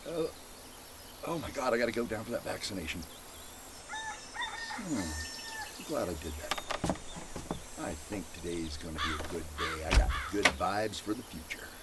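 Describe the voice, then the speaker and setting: A weary, jittery man played by a male actor grumbles to himself in a horror audio drama, his pitch swinging up and down. He is exasperated and sweary, muttering half to the room. Loud noise runs behind the voice. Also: lively intonation, moderate pace, long pauses. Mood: upbeat. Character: weary, jumpy, grumbling man living alone with gadgets. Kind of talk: old-time radio style horror audio drama monologue.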